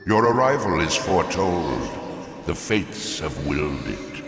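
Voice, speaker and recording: Ancient ominous oracle spirit, very low swooping voice, portentous, manipulative, deep reverberant game sound. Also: male voice, sing-song, a bit bright, exaggerated voice. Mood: solemn, portentous. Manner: portentous, theatrical, slow solemn prophecy. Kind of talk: scripted fantasy game acting. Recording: cavernous long reverb, music behind.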